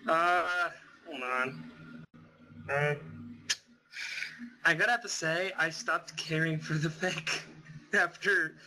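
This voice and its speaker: Very sharp, higher-voiced man speaking haltingly. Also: low-quality recording. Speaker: panelist on a group reading show. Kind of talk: casual chat.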